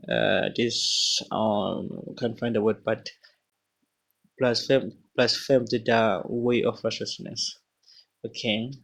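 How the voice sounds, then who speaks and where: A man whose first language is not English reads scripture aloud and comments on it casually, often stopping to correct himself and exclaim. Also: mid-range voice. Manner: halting, stops often to correct words and exclaim. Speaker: Bible reader and commentator.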